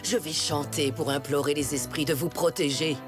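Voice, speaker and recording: An actress playing a gentle, spiritual old grandmother in a cartoon, speaking slowly and solemnly. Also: sits low, fairly even intonation, lightly acted. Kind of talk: French animated cartoon dub acting. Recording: background music.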